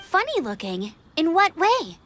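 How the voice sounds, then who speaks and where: An actress voicing an eager young female knight scout in a video game, earnest, energetic and determined. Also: very high-pitched, unhurried, soft background music, exaggerated voice. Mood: curious, concerned. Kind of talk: English video game voice acting.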